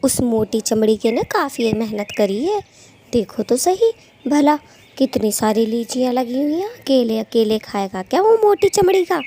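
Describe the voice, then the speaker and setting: A woman's voice: fairly high pitch, sing-song, normal speed, dark tone. A woman voicing a gossipy, scheming female bird thief who dotes on her husband, in a dramatic, exclamatory children's cartoon manner. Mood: greedy, gleeful. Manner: dramatic, exclamatory, scolding and fawning. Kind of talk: Hindi children's cartoon dialogue.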